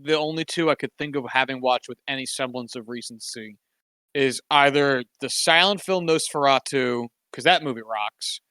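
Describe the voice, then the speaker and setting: A man's voice: medium pitch, normal speed, sharp tone, smooth, no room sound. A man co-hosting a casual sports podcast, talking in a wry, sarcastic, rambling way with plenty of fillers. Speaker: podcast co-host.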